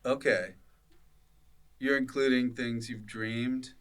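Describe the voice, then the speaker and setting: A man's voice: mid-range voice, steady tone, takes it slowly, a bit bright. A man hosting a podcast, bantering playfully with a guest and riffing in a jokey, teasing way. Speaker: podcast host.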